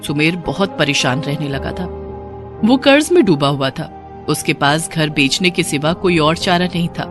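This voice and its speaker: A woman's voice: low voice, lively intonation, normal speed. Woman narrating over background music. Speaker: story narrator. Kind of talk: scripted moral-story narration.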